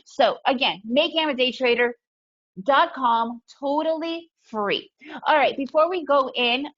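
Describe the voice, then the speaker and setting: Russian-born woman presenter with a fairly high voice, upbeat and emphatic, on a muffled video-call mic. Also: unhurried, a bit bright. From Soviet Union, raised in the US. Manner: upbeat, emphatic, often adds "right?". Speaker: webinar presenter, day trader. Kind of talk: webinar presentation. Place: home in Guatemala. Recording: dull lo-fi webinar audio.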